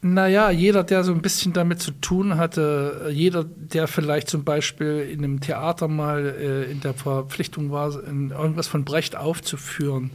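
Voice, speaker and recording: A German-speaking man with a slightly raised pitch talks in an interview. He is a musician who thinks aloud as he answers, trailing into fillers and restarting his sentences. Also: fairly even intonation, moderate pace, hardly any pauses. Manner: hesitant, many restarts and fillers, thoughtful. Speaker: musician and guitarist, interview guest. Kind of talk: conversational interview.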